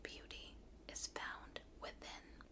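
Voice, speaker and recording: A woman's voice: slow pace, natural voice. A woman voicing a humble old beggar woman from a storybook in a meek, earnest whisper. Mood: gentle warning.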